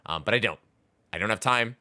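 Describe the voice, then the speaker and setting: A man's voice: fairly low pitch, brisk, bright, forceful tone. A man co-hosting a podcast, talking about video games in a loose, rambling, casual way. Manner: loose, rambling, repeats words as he goes. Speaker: podcast co-host. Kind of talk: casual video game chat.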